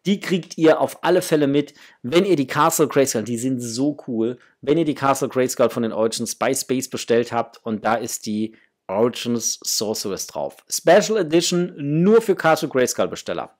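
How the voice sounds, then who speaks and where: A man in his thirties hosts a casual unboxing from a room at home. His voice is husky rather than clean, and he talks informally, addressing his viewers directly. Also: medium pitch, expressive, moderate pace. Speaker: host of a toy collecting channel. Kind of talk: casual chat, unboxing to camera. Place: small room at home, indoors.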